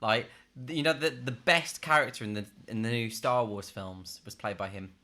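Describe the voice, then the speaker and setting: A man's voice: mid-range voice, normal speed, pushed and bright. A man chatting casually as a podcast host, enthusiastic, informal and opinionated. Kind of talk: casual film chat among friends.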